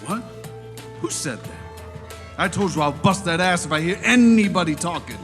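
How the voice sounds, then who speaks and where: Man acting a high-pitched, jumpy tough guy. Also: expressive, moderate pace, a bit bright. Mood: threatening. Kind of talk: audio drama acting. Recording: long reverb, music underneath.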